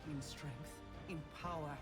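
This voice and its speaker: A man playing a grave, commanding war strategist in a video game, speaking in formal, measured oratory. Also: fairly high pitch, steady tone, loud music behind the voice, natural voice. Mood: solemn resolve. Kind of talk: scripted dramatic game dialogue.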